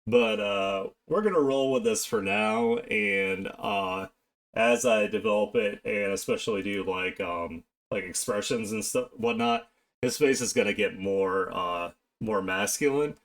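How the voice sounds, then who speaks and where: A man chatting casually on an art live stream, talking haltingly and half to himself as he works. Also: on the low side, animated, gravelly, a bit bright. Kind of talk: casual live-stream chat while drawing.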